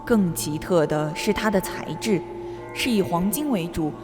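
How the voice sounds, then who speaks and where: A woman's voice: on the low side, animated, a little slow. Actress, elderly female museum curator, gracious, explanatory, radio drama, long reverb, music underneath. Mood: presenting.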